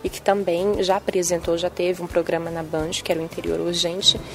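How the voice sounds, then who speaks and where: A young adult woman journalist with a soft Bahian accent, answering interview questions in a sing-song manner with frequent tag questions. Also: fairly low pitch, level tone, brisk, a little hiss or hum. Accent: Bahian Brazilian Portuguese. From Bahia, Brazil. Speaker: TV journalist and presenter.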